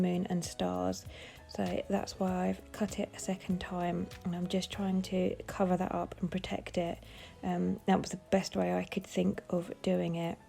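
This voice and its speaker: A woman with a cold, sounding bunged up, calmly explaining each step of her craft project in a casual tutorial voice-over. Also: lowish voice, creaky. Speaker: papercraft designer.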